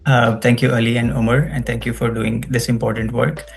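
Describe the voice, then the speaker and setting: A man's voice: medium pitch, flat delivery, fairly quick, gravelly, soft background music. A man with a Pakistani accent speaking as an interview guest, explaining things conversationally and checking in with "right?". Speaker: guest, global health researcher.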